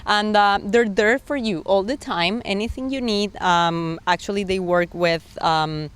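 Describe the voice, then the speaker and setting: Woman, mid-pitched voice, phrasing, agreeable, explanatory, sales interview answers. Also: measured pace, clear, resonant tone. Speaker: resort sales director being interviewed.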